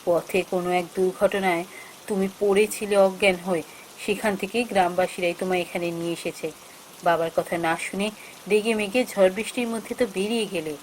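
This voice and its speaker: A woman's voice: medium pitch, steady tone, brisk, a little hiss or hum, natural voice, rough recording. A woman voicing a devoted, sensible married daughter in a fairy-tale cartoon, speaking earnestly. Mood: reproachful.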